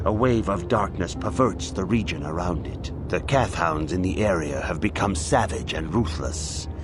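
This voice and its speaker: A solemn Jedi mentor played by a male actor speaks in a low, deep voice. He is measured and formal, a grave teacher from a video game, with prominent music behind him. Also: close to an everyday voice. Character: solemn Jedi master instructing a young apprentice. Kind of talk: scripted game dialogue, solemn instruction.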